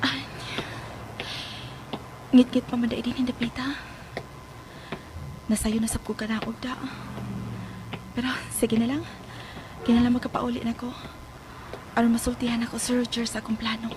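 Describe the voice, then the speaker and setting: A woman playing a timid, anxious young woman in a radio drama, with halting, stammering, sighing speech. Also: a little high, little pitch movement, background noise, close to an everyday voice. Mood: wary, musing.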